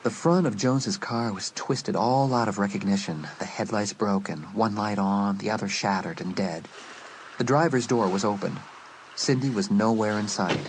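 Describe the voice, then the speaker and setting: A man's voice: on the low side, normal speed, background noise. A man narrating a dramatised horror audiobook as a scripted story. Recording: loud music and sound under the voice. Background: loud music.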